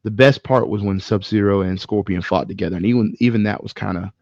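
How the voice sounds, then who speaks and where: A low-voiced man talks frankly and informally, swearing now and then as a podcast co-host. His voice has a heavy fry, and the recording is dry and slightly dull. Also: quick pace, a little mellow, dry recording. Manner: frank, profanity-laced, informal slang. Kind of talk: casual movie discussion chat.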